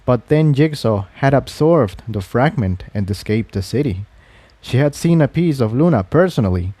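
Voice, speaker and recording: Male audiobook narrator for fantasy fiction, relaxed warm tone, very dry sound. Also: medium pitch, normal speed, no echo.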